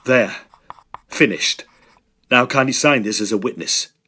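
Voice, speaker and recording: A man playing a determined, agitated young gentleman in a Victorian horror drama, speaking earnestly and emphatically in formal phrases. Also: medium pitch, measured pace. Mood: grave, resolute.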